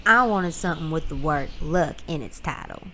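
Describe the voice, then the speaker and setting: An actress playing a playful, easygoing spaceship pilot in an audio drama, with an animated way of talking. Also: female voice, low-pitched, moderate pace, slight background noise. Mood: casual, musing.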